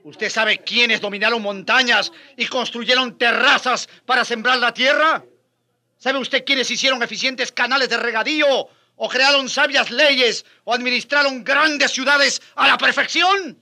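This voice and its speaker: A man's voice: very high-pitched, fairly even intonation, unhurried, sharp tone, full character voice. A man playing a passionate, sardonic Spanish friar who argues with challenging questions in a radio drama. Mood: challenging, impassioned. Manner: impassioned, challenging, mocking rhetorical questions.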